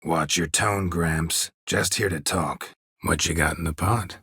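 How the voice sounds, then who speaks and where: Here is a world-weary, stoic monster hunter from a fantasy video game. The voice is very deep and heavily rasped, recorded close and dry. Lines come out terse and deadpan, with a sardonic edge. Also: male voice, a little slow, dry recording, exaggerated voice. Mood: calm, matter-of-fact. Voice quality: low, gravelly rasp. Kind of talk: video game character dialogue.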